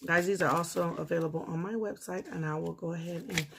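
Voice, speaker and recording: Woman, hoarse, gravelly low voice, chatty nail tutorial at a home table. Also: fairly even intonation, fast talker, slight background noise. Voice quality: husky, gravelly. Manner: chatty, talks straight to viewers while working. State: losing her voice. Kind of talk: casual nail tutorial narration.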